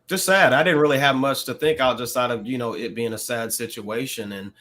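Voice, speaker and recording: A man co-hosting a podcast, discussing things casually in measured, reflective phrases. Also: medium pitch, a bit bright. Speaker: podcast co-host.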